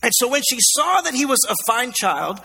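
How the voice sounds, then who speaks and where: A man's voice: very high-pitched, very animated, moderate pace, sharp tone, husky. A man with an American accent preaching a sermon in an emphatic, colloquial way, repeating phrases, his voice scratchy and strained. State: scratchy, strained voice going hoarse. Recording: long hall reverb.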